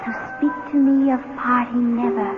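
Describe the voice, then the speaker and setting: Woman playing a high-voiced, lovelorn ghost woman. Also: flat delivery, normal speed, lightly acted. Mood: lovelorn, insistent. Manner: plaintive, coaxing. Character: ghostly dead young woman, lovelorn, tenderly insistent. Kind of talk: 1970s radio drama acting. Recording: thin, phone-like old radio, loud music.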